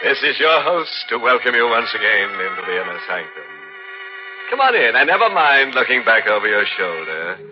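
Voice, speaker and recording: An actor playing a teasing, ghoulish horror host in old-time radio, macabre and sardonic. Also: male voice, mid-range voice, sing-song, music in the background, close to an everyday voice. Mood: gleefully macabre. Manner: slow, macabre, sardonic, drawn-out. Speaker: host and narrator. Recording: muffled vintage radio recording.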